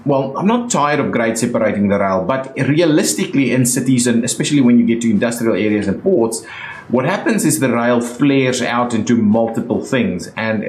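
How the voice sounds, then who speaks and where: A man's voice: mid-range voice, reverberant. A young South African man with a South African accent, narrating a city-building game in a chatty way while he thinks aloud, with lots of ums and uhs. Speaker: gaming YouTuber. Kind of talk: casual game commentary.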